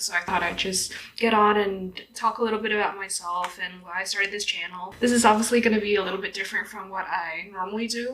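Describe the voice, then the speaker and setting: A young woman from Vietnam in her twenties talks to her viewers in a loose, get-ready-with-me chat. Her voice is bright and clear. She laughs easily and sprinkles her talk with "like" and "um". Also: mid-range voice, poor audio. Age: 20s. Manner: chatty, laughs often, lots of "like" and "um". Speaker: YouTuber. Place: indoors at home in a city, doing makeup.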